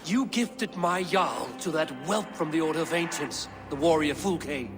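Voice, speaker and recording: An actor playing a bold pagan Viking warrior with a sardonic, direct manner in a video game. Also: male voice, on the high side, unhurried, over music. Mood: confrontational. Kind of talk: dramatic video game dialogue.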